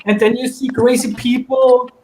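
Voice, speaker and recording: A man chatting casually and giving opinions on a podcast panel, halting as he searches for his words. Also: very high-pitched, flat delivery, darkish tone, reverberant, slight background noise.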